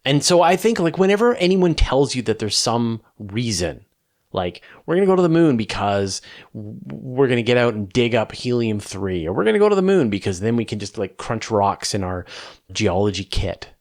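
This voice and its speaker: A man's voice: mid-range voice, big pitch swings, normal speed, a little mellow. A man hosting a question-and-answer show, explaining off the cuff in an emphatic, conversational way with rhetorical questions and repetition.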